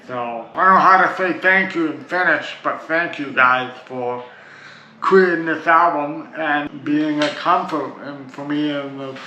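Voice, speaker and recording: A man's voice: on the high side, pushed and bright. A Canadian man reviewing albums in a casual, rambling way, full of "like" and "uh". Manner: rambling, chatty, restarts with "like" and "uh". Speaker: music reviewer. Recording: dull lo-fi sound in an echoey room with faint noise.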